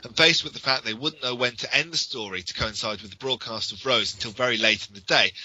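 British male podcaster, very bright and crisp-edged delivery, enthusiastic explainer, music underneath. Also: medium pitch, dull audio. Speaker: podcast co-host. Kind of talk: enthusiastic informal podcast commentary.

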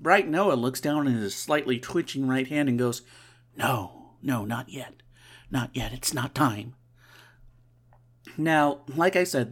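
Nasal stuffed-up podcast host, middle pitch, dry sarcastic asides. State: stuffy nose. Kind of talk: casual comic recap.

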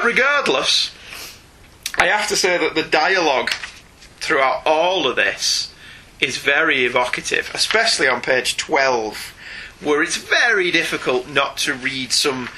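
Bright, forceful British man reviewing. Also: a little high, expressive, a little slow, slight background noise, low-quality recording. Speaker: podcast co-host. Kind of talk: conversational comic book review.

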